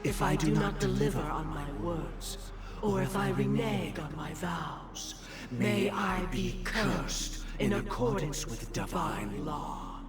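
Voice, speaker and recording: A man's voice: high voice, expressive, slow. An actor playing a composed, devout man of a powerful order in a video game, formal and reverent with veiled menace. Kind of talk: scripted video game voice acting. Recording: distant voice under loud music.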